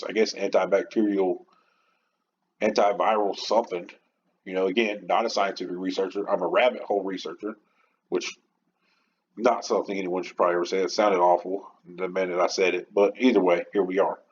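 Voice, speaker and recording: A man hosting a podcast, talking in an informal, passionate, rambling monologue without a script. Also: deep voice. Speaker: podcast host. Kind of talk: unscripted rant-style podcast monologue. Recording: dull lo-fi audio with clear background noise.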